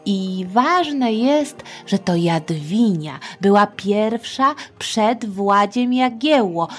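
A middle-aged woman telling history to children in a chatty, playful, theatrical way, with asides. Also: medium pitch. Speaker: storyteller, podcast host.

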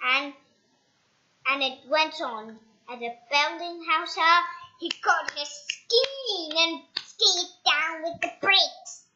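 A young child reading a picture book aloud in a halting, improvised way with stumbles. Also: very high voice, expressive, sharp tone, lo-fi sound. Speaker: storybook reader. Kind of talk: read-aloud children's storytime.

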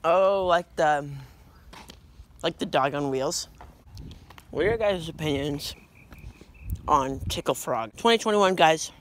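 A teenage boy vlogging on a walk down a quiet street, joking and rambling into a phone held at arm's length. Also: on the high side, animated, takes it slowly, long pauses, a little hiss or hum. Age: teens. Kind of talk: goofy walking vlog.

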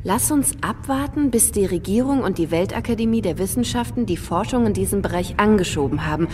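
An actress in a radio drama playing a thoughtful, cautious woman with a measured way of talking. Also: mid-range voice, expressive, background noise, close to an everyday voice. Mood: thoughtful, calm. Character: thoughtful, cautious woman company owner in a science-fiction future. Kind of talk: German radio drama acting. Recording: studio radio drama with faint music.

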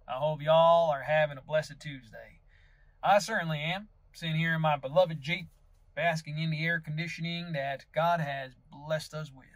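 Here is a man acting as a folksy Southern candidate with a rather high male voice and a theatrical drawl. He is vain and aggrieved, delivering a pompous mock campaign address in a close, dry sound. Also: steady tone, moderate pace, dry recording, close to an everyday voice. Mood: folksy, earnest. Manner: theatrical drawl, pompous, dropping into breathy seductive asides. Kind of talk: mock political campaign video monologue. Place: inside a parked car.

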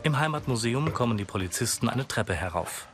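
A man narrates scenes in short, neutral scripted sentences. His German accent is standard and his pitch is average, with distinct music underneath. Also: animated, brisk. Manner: neutral, concise. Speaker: audio-description narrator.

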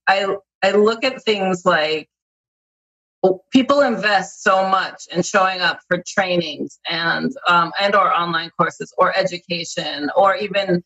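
Host type: woman, thirties, husky, lowish voice, thoughtful and meandering. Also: sharp tone, dull audio. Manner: thoughtful, rambling with restarts and "like". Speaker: podcast host. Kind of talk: casual interview chat. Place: indoor room at home. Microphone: headphones on video call.

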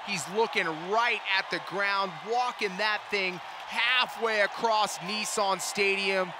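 A man working as a color commentator at a live motorsport event, breaking down replays and reacting excitedly. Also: high-pitched, slow, crowd noise, background noise. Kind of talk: arena sports commentary. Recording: commentary over loud arena music.

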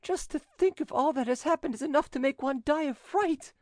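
A man voicing an excitable, easily frightened young French damsel in a high, breathless voice for an audiobook. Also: a little slow, dry recording, studio quality, heavily acted. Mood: pleading. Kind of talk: audiobook reading.

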